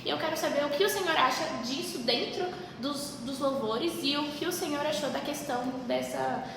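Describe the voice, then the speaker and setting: A woman's voice: sits high, moderate pace, vocal fry, far from the mic, noisy background. A woman from São Paulo with a São Paulo Brazilian accent, hosting a podcast and asking questions, a bit hesitant at times. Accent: São Paulo Brazilian Portuguese. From São Paulo state, Brazil. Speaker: podcast interviewer. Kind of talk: reading lyrics aloud, then asking questions. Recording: echoey hall, faint ambience.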